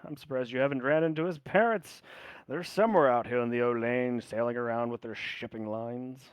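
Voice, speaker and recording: A man's voice: medium pitch, a little fast, clear, resonant tone, no room sound, lo-fi sound, close to an everyday voice. A man voicing a jovial, proud, fatherly older sailor who talks in a boisterous, hearty way and laughs readily.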